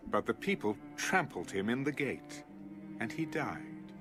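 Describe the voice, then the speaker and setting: A man's voice: medium pitch, sing-song, a little slow. A man narrating scripture as a dramatized audio production. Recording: music under the voice. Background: background music.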